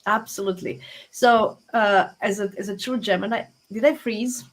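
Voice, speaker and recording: A woman's voice: medium pitch, steady tone, moderate pace, noisy background. A woman in her forties with a Croatian accent, talking casually as an interview guest, often repeating words and restarting, over a phone on a video call. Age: 40s. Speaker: guest astrologer. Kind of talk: casual interview talk, telling personal story. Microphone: phone app on a video call.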